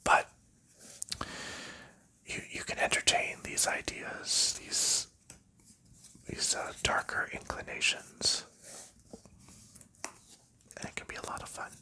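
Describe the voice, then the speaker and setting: A man whispers his way through a relaxed ASMR chat, drawing every phrase out slowly. His whisper is bright and sharp-edged, close and breathy. He rambles softly and lets small chuckles slip in. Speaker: ASMR creator.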